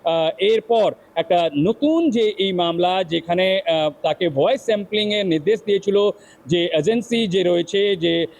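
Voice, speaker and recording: High-pitched male news reporter, halting delivery. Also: creaky, a little mellow. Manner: halting, repetitive, with broken-off words. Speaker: field reporter.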